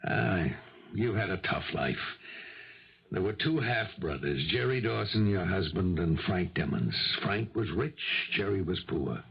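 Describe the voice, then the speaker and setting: An actor in a 1970s radio drama playing a skeptical, world-weary police lieutenant with a gravelly voice, reasoning firmly. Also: male voice, low voice, expressive, unhurried, exaggerated voice. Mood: probing. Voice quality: gravelly, husky. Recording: dull, lo-fi old radio recording.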